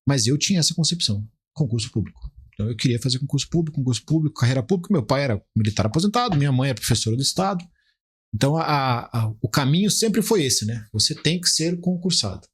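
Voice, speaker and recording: A man's voice: medium pitch, animated, fast, breathy edge. A Brazilian man in his forties giving conversational, explanatory interview answers as a podcast guest, often restarting his phrases. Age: 40s. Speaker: podcast guest, criminal defence lawyer.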